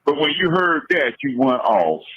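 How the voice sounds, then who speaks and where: A man's voice: a little high, sing-song, normal speed, a little hiss or hum. A man talking casually on a phone call, emphatic and often repeating his phrases. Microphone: telephone. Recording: dull, lo-fi jail phone call.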